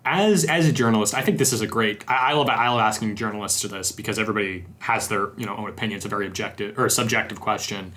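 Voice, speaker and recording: A man's voice: fairly low pitch, fast talker, hardly any pauses, bright, forceful tone, slight background noise. A young man from the American South hosting a podcast, talking casually in long, self-correcting stretches. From Southern United States. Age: young adult. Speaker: podcast host, interviewer. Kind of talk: casual interview chat.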